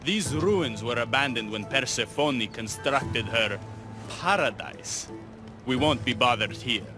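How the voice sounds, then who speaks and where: An actor playing a wry, lovelorn young rebel in a video game, speaking in a flowery, sardonic way. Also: male voice, mid-range voice, lively intonation, measured pace, music in the background, lightly acted. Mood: conspiratorial. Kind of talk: video game cutscene acting.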